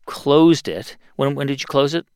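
A man's voice: mid-range voice, lively intonation, normal speed, darkish tone, clear, resonant tone, dry recording, clean studio sound. A man hosting a call-in advice show, friendly, often repeating words as he thinks aloud. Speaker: show host.

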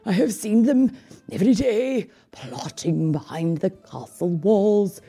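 A woman's voice: mid-range voice, expressive, slow, mellow, full character voice. A woman doing a hissing, scheming lord. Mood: sly. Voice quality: hoarse hissing whisper. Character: scheming, harsh male royal adviser.

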